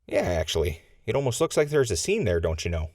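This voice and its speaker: A man's voice: fairly low pitch, big pitch swings, quick pace, darkish tone, a little hiss or hum. A man playing a wry, inquisitive sidekick investigator in a radio drama, folksy and conversational. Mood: curious.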